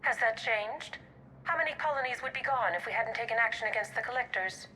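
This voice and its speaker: A calm, smoothly menacing operative. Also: female voice, fairly high pitch, a little fast, slight background noise, low-quality recording. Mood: coolly menacing. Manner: calm, polished, veiled threats. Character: cool female covert operative, smoothly threatening. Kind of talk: video game cutscene acting.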